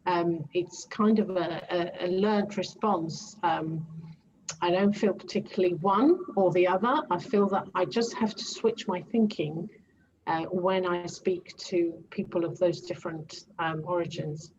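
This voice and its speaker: A woman born in Greece, with Greek as her first language, talks through her answers in a lowish voice, pausing often on ums and starting over. The sound is dull and lo-fi, with a faint hiss behind her. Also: fairly even intonation, darkish tone. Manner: hesitant, many ums and restarts, light laughs. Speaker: guest, transformation coach. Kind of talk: casual interview answers.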